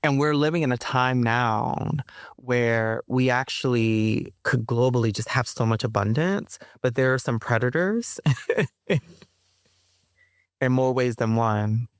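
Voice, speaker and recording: A woman who is a poet and interview guest, talking in an emphatic, expressive way. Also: rumbling low, slow pace, clear, resonant tone, no room sound, studio quality. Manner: emphatic, laughs often while talking. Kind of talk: conversational interview, reciting own poem.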